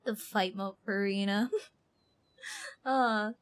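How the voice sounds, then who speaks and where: A woman's voice: fairly even intonation, slow pace. A woman game streamer chatting casually and rambling in an informal, slangy way as she comments on play. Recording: faint background noise and low rumble.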